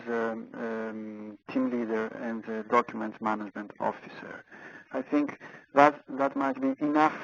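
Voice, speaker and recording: A man's voice: a little high, vocal fry. A man with an Italian accent presenting a webinar over a phone handset, hesitant, with many fillers and repeated words. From Italy. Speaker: webinar speaker. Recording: muffled phone line.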